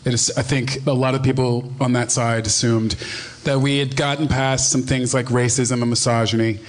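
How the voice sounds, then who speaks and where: A man with a medium-pitched voice speaks on a podcast panel. He gives his commentary conversationally, as part of a group discussion. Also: flat delivery, normal speed.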